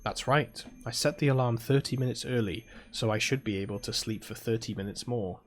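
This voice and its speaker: A man's voice: medium pitch, a little fast, slight background noise, natural voice. A British man reading aloud as a sleepy, proud teenage girl mage, in a drowsy, grumbling first-person voice. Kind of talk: reading a visual novel aloud.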